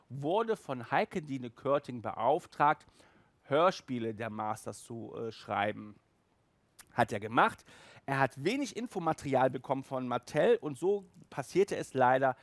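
A man's voice: mid-range voice, dry recording. A middle-aged man hosting a collector show, chatting casually and enthusiastically in colloquial fan talk into a headset mic. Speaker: host of a collector video show. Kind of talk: casual monologue to camera about nostalgic collectibles. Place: small room with shelves, indoors.

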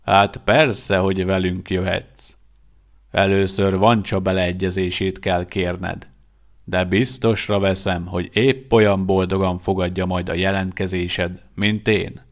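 A man voicing a seasoned male vampire who talks slowly, in a measured and dry way. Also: low voice, flat delivery. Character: seasoned male vampire, gruff but warm-hearted.

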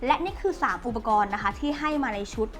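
A woman's voice: a little high, fast talker. A young woman politely explaining a product as she unboxes it for a review. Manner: polite, explanatory, steady walk-through. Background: faint background music.

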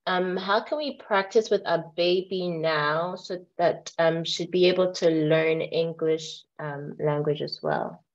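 Woman, moderator, polite, reading questions, lowish pitch, muffled call audio. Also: fairly even intonation, measured pace, hardly any pauses. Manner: polite, reads questions aloud. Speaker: webinar moderator. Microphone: video call. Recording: dull, lo-fi video call audio.

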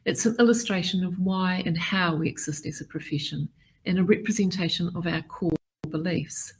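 A middle-aged woman speaks in a slightly low, husky voice. She delivers a formal keynote at a measured, deliberate pace, working steadily through lists of points. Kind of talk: formal prepared keynote address.

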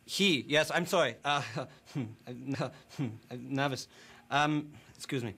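A man playing a nervous, bumbling German interviewer who speaks halting broken English with a German accent. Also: on the high side, pushed and bright. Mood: awkward. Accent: German (put on). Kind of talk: prank interview.

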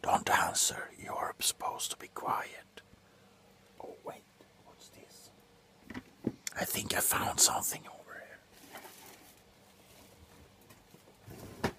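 A tipsy man playing a callous, grumpy doctor in a slow, sullen ASMR roleplay, whispering sarcastically up close. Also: bright, forceful tone. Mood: sullen, dry. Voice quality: breathy whisper. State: drunk. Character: grumpy, callous, drunken male doctor on a house call. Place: small cluttered room indoors.

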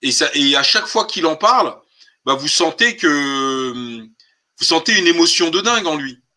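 A man's voice: fairly high pitch, animated, normal speed, bright, forceful tone. A man hosting a political live stream, talking colloquially and insistently, with emphatic rhetorical questions. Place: online group call.

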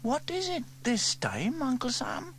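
A man's voice: extremely high pitch, wildly swooping pitch, a little slow, a bit bright, a little hiss or hum, voice pushed up, exaggerated voice. A man voicing a doubtful, teasing young girl in a storybook reading. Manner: skeptical, teasing.

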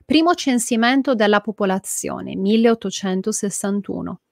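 A woman's voice: medium pitch, moderate pace, gravelly, no room sound, studio quality. A woman in her thirties from Italy with an Italian accent, teaching a live online language class from a small room at home and reading out viewers' comments. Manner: lively, reads viewer comments, gestures a lot. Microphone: earphone headset mic.

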